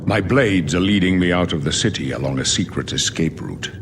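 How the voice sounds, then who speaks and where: A man's voice: low-pitched, expressive, fairly quick, noisy background. An older man playing a weary, solemn old emperor in a fantasy video game, speaking in a grave, prophetic manner. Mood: solemn, resolute. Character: weary, solemn old male emperor facing his death.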